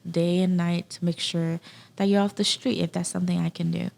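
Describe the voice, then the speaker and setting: A woman with a slightly low, noticeably creaky voice talks as a podcast guest. She is casual and earnest, and she drives points home by repeating key phrases. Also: level tone, fairly quick, a little mellow. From Haiti. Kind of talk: casual interview conversation.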